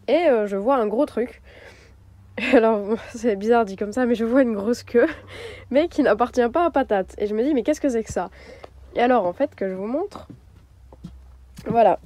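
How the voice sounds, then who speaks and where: A woman's voice: on the high side, mellow. A young woman vlogging casually into her phone from her camper van, chatty and hesitant, her nose stuffed up from a cold. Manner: chatty, hesitant with many fillers. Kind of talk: casual vlog chat to camera. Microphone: phone mic.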